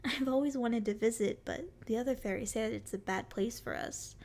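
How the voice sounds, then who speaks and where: Casting: female performer as a sweet, playful forest fairy for ASMR roleplay, very high voice with a creaky edge, teasing and curious. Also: brisk, a little mellow, lightly acted. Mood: curious, eager. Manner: sweet, flirty, teasing endearments. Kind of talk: ASMR roleplay, addressing the listener.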